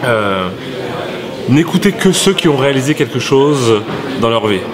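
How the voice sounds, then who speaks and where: A man from France, a company head as interview guest, answering in a halting way with many pauses and restarts. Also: mid-range voice, lively intonation, a crowd in the background. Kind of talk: hesitant conversational interview answers.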